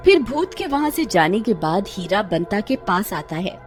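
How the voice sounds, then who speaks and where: A woman's voice: mid-range voice, big pitch swings, moderate pace, darkish tone, faint music behind. A woman narrating a scripted horror cartoon story in a dramatic storytelling style.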